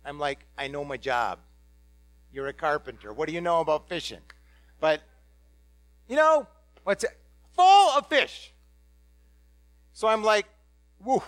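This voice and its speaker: A blunt, ordinary middle-aged fisherman apostle, played by a man speaks in a rather high voice to a congregation. He rambles and stumbles over restarts in a casual, excitable way. The sound is dry and close. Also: measured pace, pauses often, no echo. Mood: chatty. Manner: excitable, blurting. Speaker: dramatic first-person preacher. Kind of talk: first-person biblical monologue to a congregation.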